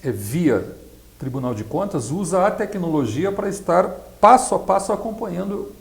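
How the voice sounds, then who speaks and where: Creaky-voiced Brazilian man explaining, echoey room. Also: high voice, animated, moderate pace. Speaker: accountant and project specialist being interviewed. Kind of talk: explanatory interview answers. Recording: echoey, roomy sound.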